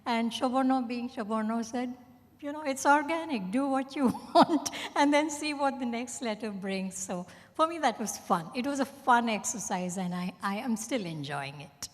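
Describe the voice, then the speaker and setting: A woman's voice: a little high, animated, moderate pace. A woman speaking conversationally as a fiction writer, explaining her process without a script. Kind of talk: unscripted conversational talk about writing. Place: event hall at a hotel. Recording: echoey hall with long reverb.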